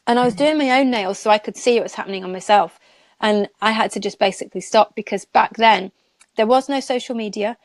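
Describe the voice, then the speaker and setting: A woman's voice: mid-range voice, a little fast, no room sound. A woman in her thirties, a nail technician chatting casually as a guest and rambling with laughs. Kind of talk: casual live chat.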